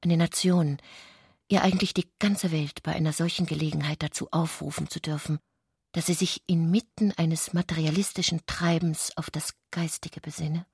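Give the part of a self-important, idealistic society lady in a literary radio play. Her voice is low and husky, with some creak. She speaks formally and earnestly, with lofty conviction, in a dry, close recording. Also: steady tone, normal speed, a little mellow, no echo, full character voice. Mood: earnest, solemn. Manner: earnest, high-minded, formal speech.

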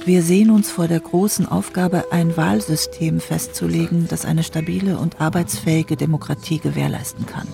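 Male disillusioned politician in a radio drama, heavily creaky, mellow, reflective monologue over music. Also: fairly high pitch, fairly even intonation, moderate pace, few pauses. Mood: weary, reflective. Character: disillusioned, reflective politician voicing inner doubts.

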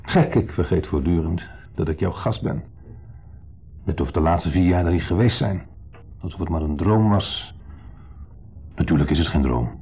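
An actor playing a wistful, provocative ex-husband visiting as a guest in a 1970s radio drama, with a wry, probing manner. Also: male voice, low voice, moderate pace, pauses often, dark tone, breathy edge. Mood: wistful, bitter. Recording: muffled 1970s radio recording.

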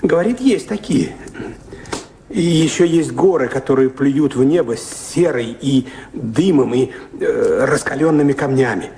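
A man's voice: medium pitch, expressive, a little slow, dark tone, slight background noise. An actor playing a talkative, deferential castle servant in a radio play, naive and awed, hesitant and rambling in his speech. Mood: wondering, excited. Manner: hesitant, rambling, servile, with frequent little fillers.

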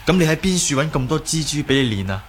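Earnest young Cantonese hero over clear noise, acted. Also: male voice, fairly high pitch, lively intonation, fast, lightly acted. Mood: earnest, worried. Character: concerned, good-natured young martial arts hero. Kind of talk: Cantonese audio drama acting. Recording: clearly noisy background.

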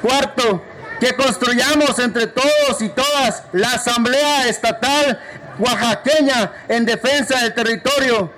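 A man with a strikingly high voice reads a formal statement aloud, point by point. His delivery is measured and declamatory, each item set off clearly. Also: low-quality recording. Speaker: reader of a forum declaration.

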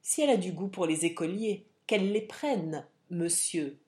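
A woman's voice: on the low side, lively intonation, normal speed, warm tone, close to an everyday voice. A woman voicing a burly, haughty English army captain in an audiobook, formal and sarcastic in manner. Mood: cold anger. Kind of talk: audiobook character acting.

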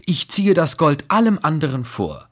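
A man's voice: a little high, sing-song, moderate pace, voice pushed up. A man voicing a chattering, flirty French courtesan. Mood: coquettish. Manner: chattering, mixing in French phrases. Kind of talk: audiobook character voice.

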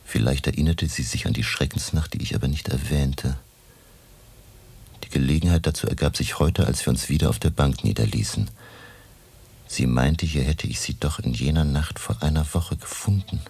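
An actor playing a courteous, earnest older professor in a radio play, speaking in a deliberate, courtly way. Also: male voice, rumbling low, darkish tone, slightly rough, heavily acted. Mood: measured, absorbed. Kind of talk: German radio play acting.

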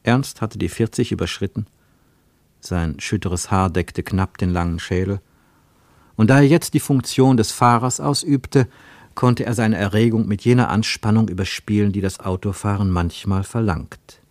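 A man narrating a literary radio travelogue in a measured way, with dramatic weight on single words. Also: on the low side, fairly even intonation, darkish tone, dry recording.

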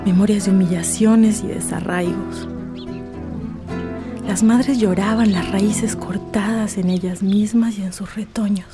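A woman's voice: mid-range voice, fairly even intonation, measured pace, over music. A woman reading a scripted, poetic narration for a radio drama.

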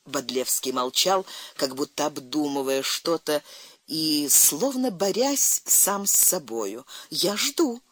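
A narrator reading literary prose in an expressive storytelling style. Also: mid-range voice, takes it slowly, dry recording. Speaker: audiobook narrator.

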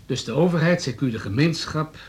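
An actor playing a hard-nosed town politician in a radio drama, businesslike, smooth and needling. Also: male voice, fairly high pitch, fairly quick, darkish tone, close to an everyday voice. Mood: matter-of-fact. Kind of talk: Dutch radio drama dialogue.

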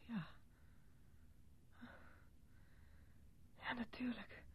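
An actress in a radio drama playing a shaken, distraught wife who speaks hesitantly and haltingly. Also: female voice, dry recording, close to an everyday voice. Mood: subdued, confused. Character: shaken, distraught wife recounting a gruesome holiday discovery. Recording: muffled radio play recording.

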